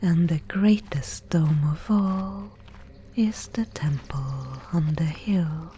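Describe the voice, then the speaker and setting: A woman voicing a mysterious, solemn Spindlemaid guide in a whispered ASMR dark-fantasy roleplay, with breathy, incantatory, archaic speech. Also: low-pitched, slight background noise. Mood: calm, ominous. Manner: slow, incantatory, archaic phrasing.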